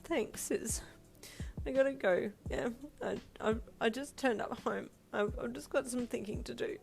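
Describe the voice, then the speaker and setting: A woman's voice: a little high, a little slow, gravelly, a little mellow, faint music behind, voice pushed up. A woman voicing a distraught young woman in an audiobook-style story, tearful and pleading, with a breaking voice. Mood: meek, shaky. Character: distraught young woman, sobbing, wailing, self-reproaching.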